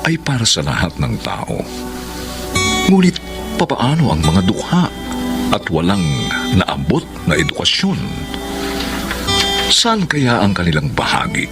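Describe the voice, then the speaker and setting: A man's voice: low-pitched, huge pitch swings. A man announcing a radio drama, reciting a poem in a declamatory style. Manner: slow, sweeping, declamatory. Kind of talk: dramatic poetic narration. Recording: music bed under voice.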